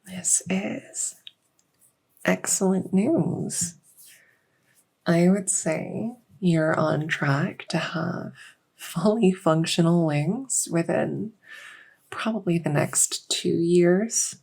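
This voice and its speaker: Woman playing a gentle, low-voiced fae doctor. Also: vocal fry, breathy edge, exaggerated voice. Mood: soft, pleased. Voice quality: soft, occasionally whispery. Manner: unhurried, reassuring, hesitant pauses. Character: gentle female fae doctor with buggy wings and scaly tail. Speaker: audio roleplay performer. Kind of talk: soft-spoken ASMR medical roleplay.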